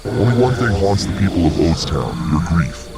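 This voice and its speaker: A man's voice: low voice, sing-song, normal speed, loud music behind the voice. A man voicing a solemn, wise alien spirit in a dramatized audiobook. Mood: gently chiding.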